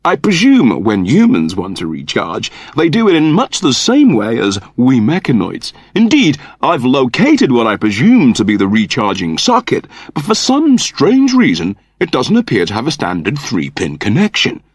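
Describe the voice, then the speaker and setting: Sci-fi audiobook android, now human: prim, earnest, wordy, wildly animated pitch, very dry close sound. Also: male voice, normal speed, few pauses, breathy edge, no echo. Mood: fussy, embarrassed. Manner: prim, wordy, over-polite. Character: eager, fussy android newly turned human, male, naively earnest.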